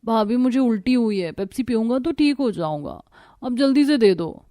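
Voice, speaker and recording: Bossy young sister-in-law, played by a woman, high-pitched, haughty, for animated family story. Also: moderate pace, close to an everyday voice. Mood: demanding.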